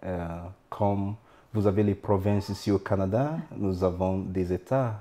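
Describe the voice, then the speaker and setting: Deep, slow-speaking Nigerian-born priest. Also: male voice, steady tone, mellow. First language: Idoma. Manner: halting, with frequent "euh" pauses. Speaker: priest, interview guest. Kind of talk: interview answers. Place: studio.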